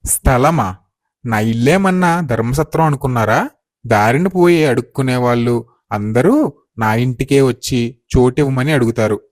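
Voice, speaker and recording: A man acts out a haughty rich householder from a moral storybook tale. He speaks with scorn and grudging impatience, scolding at a middling male pitch. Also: expressive, moderate pace, natural voice. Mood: scornful. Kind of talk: acted moral story dialogue.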